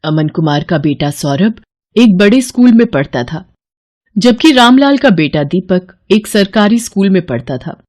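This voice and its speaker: A woman narrates a children's story in a scripted storytelling style. Her voice sits at an average pitch for a woman. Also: expressive, unhurried, a little mellow.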